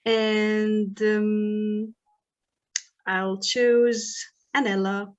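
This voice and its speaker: A woman's voice: mid-range voice, flat delivery, drawn-out words, highs cut off. A woman from Syria introducing herself informally as a team member in an online meeting.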